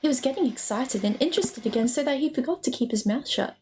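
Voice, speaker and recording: A woman's voice: sits high, animated, fast, a little mellow, a little hiss or hum. A woman streamer reading a storybook aloud as narrator. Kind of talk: storybook narration, read live. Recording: music under the voice.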